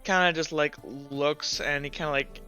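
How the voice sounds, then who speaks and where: A man narrating a tabletop roleplaying game as game master, improvising scenes in halting, loose phrasing. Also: fairly high pitch, fairly even intonation, over music.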